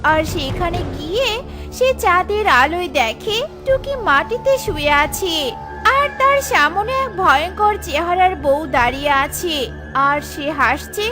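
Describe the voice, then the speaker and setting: High-voiced woman narrating a cartoon story. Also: big pitch swings, a little slow. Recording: music behind the voice.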